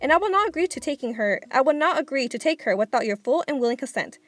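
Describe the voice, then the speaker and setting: A woman voicing a gentle, composed and caring storybook schoolteacher, speaking in a soft, measured way. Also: high-pitched, lively intonation, soft background music. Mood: earnest.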